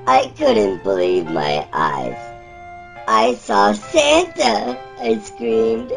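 E.T., gravelly swooping alien storyteller. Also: male voice, wildly swooping pitch, a bit bright, heavily acted, rough recording. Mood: excited. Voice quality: croaky, gravelly, breaking into squeaks. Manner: slow, halting, childlike storytelling with swooping pitch. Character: E.T., small gentle wide-eyed alien reading a storybook. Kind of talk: storybook reading in a character voice. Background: instrumental music.

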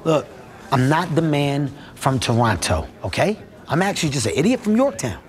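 An actor playing a bumbling man mistaken for a hitman, bluffing and fast-talking, swinging to loud threats. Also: fairly high pitch, very animated. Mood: pleading. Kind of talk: film comedy acting. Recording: film audio under music.

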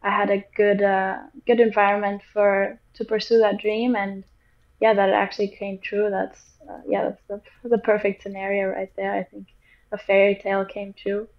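A young woman from Portugal speaks into a laptop mic from a small room, soft-spoken and a little hesitant, pausing often on fillers. Her pitch stays flat and even. The call audio is dull and lo-fi. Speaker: guest, pro surfer. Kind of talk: casual interview over video call. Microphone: laptop or webcam mic on a video call.

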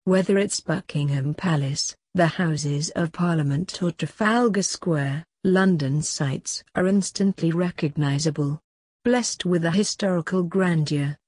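A woman giving a measured, formal read-aloud of a scripted practice text. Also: fairly low pitch, darkish tone, slightly rough, no echo, clean studio sound. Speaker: exam sample reader.

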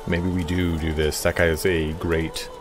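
A man in his thirties, a YouTube streamer chatting live with viewers while playing a strategy game, loud, excitable and profane. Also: soft background music. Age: 30s. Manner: loud, excitable and profane, quick swings in pitch.

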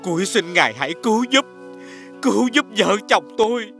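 A man's voice: high voice, big pitch swings, normal speed, vocal fry, bright, forceful tone, exaggerated voice. A humble old village father in a fairy-tale cartoon. He speaks deferentially and plaintively, over faint background music. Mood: sorrowful pleading. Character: humble, pleading village father, an elderly man. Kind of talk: animated fairy tale acting.

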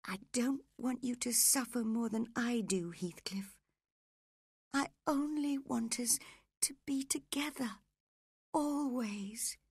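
A woman voicing a frail, dying young wife, passionate and desperate, with breathy, pleading drama, in a storybook reading. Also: on the high side, slow, a little mellow, no echo, studio quality, pitched above the performer's own voice, exaggerated voice. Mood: wounded, pleading.

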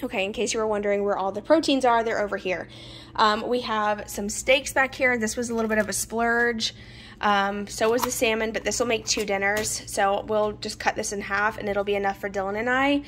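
A woman's voice: mid-range voice, steady tone, brisk, a bit bright, a little hiss or hum. A woman, chatting casually through a grocery haul in her home kitchen and laughing often. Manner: chatty, laughs often, talks to viewers as y'all. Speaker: vlogger.

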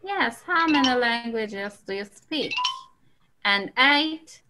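A woman's voice: fairly high pitch, lively intonation, slow pace, pushed and bright. A woman with a Turkish accent teaching an English class over a video call, patiently calling on students.

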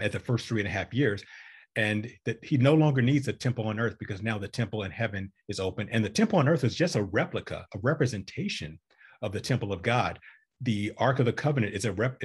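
A man's voice: lowish voice, slightly rough. A young man on a video call, explaining Bible passages earnestly and stumbling over repeated words. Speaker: Bible teacher in discussion. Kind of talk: casual video call discussion. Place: indoors with a virtual background. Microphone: video call webcam.

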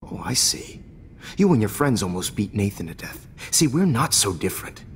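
An actor playing a cold, arrogant middle-aged American photography teacher turned killer in a video game, smug, measured and menacing, with a breathy near-whisper. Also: male voice, lowish voice, big pitch swings, full character voice. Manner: smug, measured, menacing, prone to sudden outbursts. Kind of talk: dramatic video game acting. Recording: reverberant room with ambient noise.

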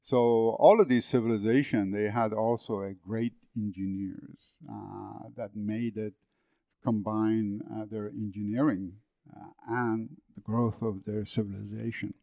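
A man's voice: on the low side, steady tone, unhurried, gravelly, dark tone, no echo, highs cut off. Iranian-accented man in his seventies. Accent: Persian. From northern Iran. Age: 70s. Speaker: guest, agricultural engineer. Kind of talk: podcast interview.

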